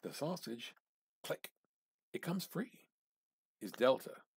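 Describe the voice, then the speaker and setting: A man giving an amateur dramatic reading of literary fiction, theatrical and halting, with pauses and emphatic repetition. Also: mid-range voice, sing-song, gravelly, slight background noise. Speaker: amateur reader.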